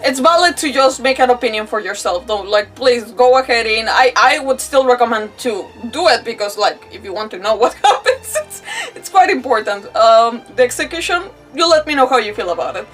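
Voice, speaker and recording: A woman's voice: on the high side, lively intonation, brisk, fairly bright tone, distant mic, soft background music. A woman gamer hosting a casual livestream, chatting in a rambling, giggly way and often interrupting herself.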